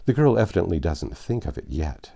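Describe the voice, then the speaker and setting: Storybook society gossip, a woman played by a man: low, mellow, knowing, condescending. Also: big pitch swings, brisk, gravelly, heavily acted. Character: gossiping society women in a nineteenth-century storybook.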